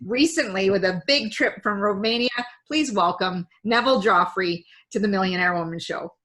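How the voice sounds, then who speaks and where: A woman's voice: mid-range voice, normal speed, fairly bright tone. A woman hosting an interview show, upbeat and enthusiastic as she reads her guest's introduction. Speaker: show host.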